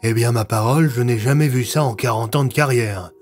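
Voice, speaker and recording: An older, discreet hospital doctor in an audio story, acted by a man. His voice is mid-pitched and professional, over faint music. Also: brisk, close to an everyday voice. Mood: astonished. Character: astonished, discreet veteran male hospital doctor.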